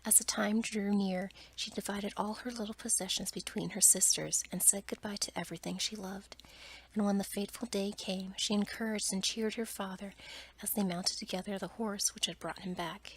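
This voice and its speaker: A woman softly whispering a bedtime story in ASMR style, with a breathy, gentle delivery. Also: mid-range voice, steady tone, normal speed, rough recording. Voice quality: whispered, breathy, mostly unvoiced. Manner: soft, gentle reading with little change between characters. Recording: noticeable background noise.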